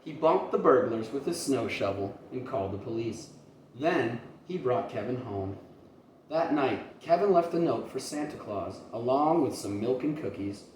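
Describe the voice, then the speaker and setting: A man reading a children's story aloud in an expressive teacher's style. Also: medium pitch, normal speed. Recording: roomy, distant mic, faint noise.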